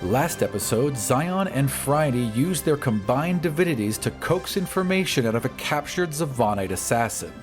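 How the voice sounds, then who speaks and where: A man's voice: medium pitch, lively intonation, normal speed, few pauses. A man announcing for a podcast, reading scripted advert and story-recap narration. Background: prominent music.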